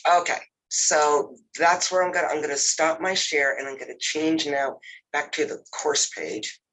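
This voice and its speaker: A man's voice: on the high side, unhurried, low-quality recording. A man with a cold and a nasal, congested voice, walking through a chemistry lab over a video call, using many fillers. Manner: relaxed, filler-heavy, says "you know" often. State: has a cold. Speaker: lab instructor.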